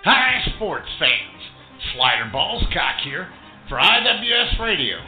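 A man voicing a bombastic sports director in a parody radio report, with pompous, theatrical, drawn-out delivery. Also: sits high, big pitch swings, narrow, tinny audio, exaggerated voice. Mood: bombastic, hyped. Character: bombastic male sports director mangling words and names. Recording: roomy echo over music bed.

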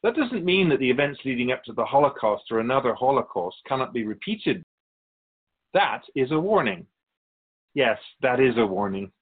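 A wise, wry otherworldly keeper of souls acted by a man speaks in a radio drama with calm caution. His pitch moves expressively as he muses and instructs in measured phrases. The sound is a bit dull and lo-fi. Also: husky. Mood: grave, cautioning. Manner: measured, didactic, musing pauses. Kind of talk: radio drama dialogue in interview form.

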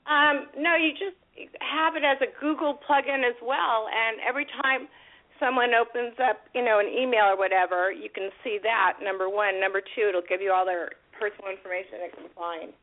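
Hoarse woman calling in by phone. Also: a little high, brisk. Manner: upbeat, chatty. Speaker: caller, panelist. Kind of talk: casual chat. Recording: tinny phone line.

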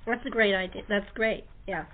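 A woman's voice: medium pitch, steady tone, moderate pace. A woman hosting a casual panel interview, talking informally with her guests. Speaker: talk show host. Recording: phone-like, narrow-band audio.

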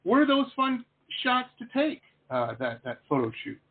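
A middle-aged man hosting a casual interview, rambling and animated, with lots of fillers. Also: extremely high pitch, moderate pace, creaky. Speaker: host. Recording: phone-like lo-fi line.

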